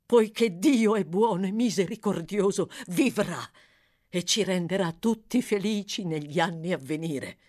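A woman's voice: on the low side, lively intonation, normal speed, few pauses, natural voice. Woman voicing a kindly, earnest doctor. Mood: fervent. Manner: soothing, earnest, emphatic. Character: kindly, fervent male doctor soothing a patient.

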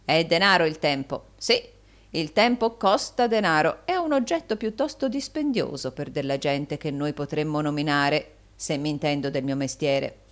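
A woman reader acts an impatient male moneyman from a storybook. He is cold and sardonic, and his dry, blunt delivery moves pointedly up and down in pitch. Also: expressive, moderate pace.

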